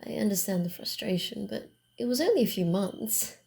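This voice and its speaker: A woman voicing a proud, playful vampire wanderer in an ASMR roleplay, halting and teasing, easily flustered. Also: mid-range voice, animated, creaky, a little mellow. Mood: casual, teasing. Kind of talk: ASMR roleplay, acted one-sided dialogue.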